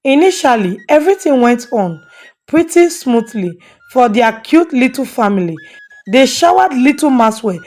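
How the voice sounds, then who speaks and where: Fairly high-voiced woman narrating a folktale. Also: big pitch swings, normal speed, a little mellow. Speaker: storyteller. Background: faint music.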